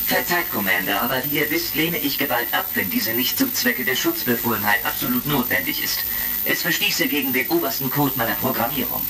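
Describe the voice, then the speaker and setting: An actor playing a polite, scrupulous robot in a retro sci-fi radio play, with a stilted, formal manner. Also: male voice, mid-range voice, lively intonation, fairly quick, creaky. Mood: reluctant. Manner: stilted, formal, announces its emotions. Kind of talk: retro science fiction radio play acting. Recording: distant, noisy vintage crackle.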